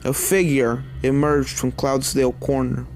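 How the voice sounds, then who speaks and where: A man's voice: mid-range voice, level tone, unhurried, a little mellow, slight background noise. A man reading a horror story aloud as a dramatic narrator.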